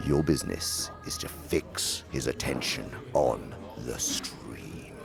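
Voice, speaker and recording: An actor playing a sly, condescending older demon uncle who lectures pompously and theatrically in a radio drama. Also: male voice, very deep voice, expressive, slow pace, gravelly, music in the background, full character voice. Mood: lecturing.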